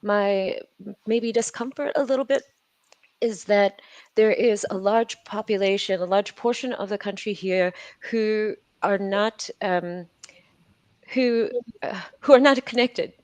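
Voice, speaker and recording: A woman's voice: medium pitch, measured pace, darkish tone, no room sound. A woman speaking earnestly as a panelist, often repeating words as she builds her points. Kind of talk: panel discussion answer.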